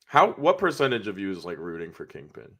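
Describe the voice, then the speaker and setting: Bright, lively man around thirty. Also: sits low. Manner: playful, quick to laugh, drops dark jokes. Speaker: co-host. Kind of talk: casual live watch-party chat.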